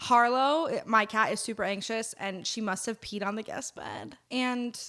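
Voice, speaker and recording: A woman's voice: a little high, normal speed, pushed and bright. A woman co-hosting a casual chat podcast from a home set, talking in a dry, teasing way. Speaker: podcast co-host.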